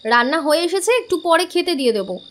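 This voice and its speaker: A very high, animated voice: a woman acting a fussing village aunt, curious and bustling, in a horror radio play. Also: fast. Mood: concerned, bustling. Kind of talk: Bengali horror audio drama acting.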